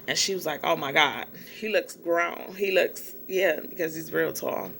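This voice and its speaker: A middle-aged woman and small business owner talking casually to her viewers in a rambling way, with many fillers and pauses. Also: low voice, creaky, fairly bright tone, slight background noise. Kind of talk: casual vlog monologue.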